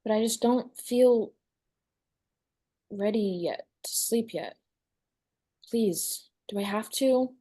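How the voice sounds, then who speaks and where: A woman's voice: fairly high pitch, takes it slowly, long pauses, warm tone. A woman playing a haggard, haunted, stubborn teenage girl in a horror script table read. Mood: uneasy, defiant.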